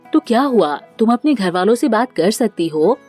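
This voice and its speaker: A woman's voice: fairly high pitch, sing-song, normal speed, a little mellow, faint music behind. Woman doing evasive, placating young boyfriend. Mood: casual, evasive. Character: evasive young office man, smooth, excuse-making boyfriend. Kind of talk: cartoon family drama storytelling.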